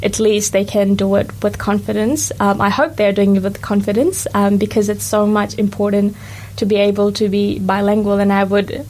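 A young woman hosting a casual radio chat, reflective and quick to agree. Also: mid-range voice, level tone, darkish tone, rough recording. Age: young adult. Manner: reflective, chatty, lots of agreeing "yeah". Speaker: radio show host. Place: radio studio.

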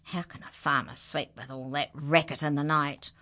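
A woman voicing a grumpy farmer who shouts at his animals, bossy and loud in a storybook reading. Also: low voice, steady tone, normal speed. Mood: angry.

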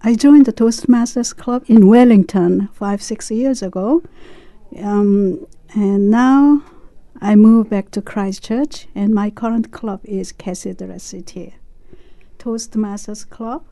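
A woman in her sixties, a club member talking about her club in an interview, speaking haltingly with many pauses. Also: medium pitch, mellow. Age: 60s. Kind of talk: casual interview chat.

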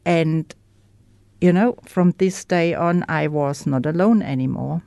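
Slow, low-voiced woman with German accent. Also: creaky, dark tone, no echo. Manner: candid, reflective, searching for words. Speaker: guest sharing her recovery story. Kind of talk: personal testimony in an interview.

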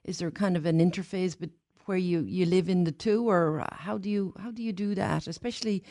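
A woman hosting a radio interview, asking questions haltingly with many restarts and fillers. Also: on the low side, dark tone, no echo, studio quality. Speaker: radio host.

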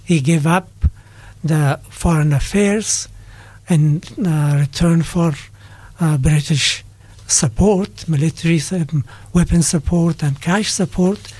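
An older man from Afghanistan answers radio interview questions in a measured, hesitant way, often pausing and restarting his sentences. His voice sits a little high for a man but has a mellow, dark tone. The sound is close and dry. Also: breathy edge, no echo. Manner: thoughtful, with frequent hesitations and restarts. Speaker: guest, retired politics lecturer.

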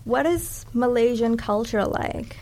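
Upbeat young woman hosting, higher-pitched voice. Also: unhurried, darkish tone. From Sri Lanka, raised in New Zealand from age eight. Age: young adult. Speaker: podcast host. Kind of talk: casual interview podcast.

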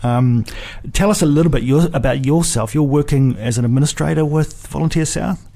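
A man hosting a conversational interview, phrasing his questions in a measured, reflective way. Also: fairly high pitch, vocal fry, warm tone. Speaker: radio show host.

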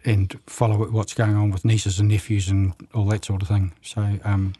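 Hesitant older man, low dry voice. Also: flat delivery, dark tone, husky, no echo. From New Zealand. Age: around 70. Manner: halting, many fillers and restarts, chuckles. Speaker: guest. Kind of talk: casual radio interview.

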